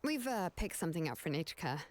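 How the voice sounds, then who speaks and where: A woman's voice: fairly low pitch, sing-song, fairly quick. A woman playing a confident, shrewd young craftswoman with a casual, direct manner in an anime video game. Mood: casual, knowing.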